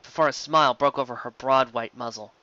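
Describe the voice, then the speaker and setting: A man's voice: medium pitch, fairly quick, sharp tone, dry recording, highs cut off. A man reading a scripted story as an amateur narrator, now and then stumbling over words and rereading them. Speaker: amateur audiobook reader.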